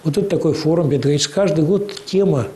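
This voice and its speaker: A man answering interview questions at length as an official, explaining in a measured way with frequent hesitations. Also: fairly high pitch, dark tone. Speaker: interview guest, cultural organization official.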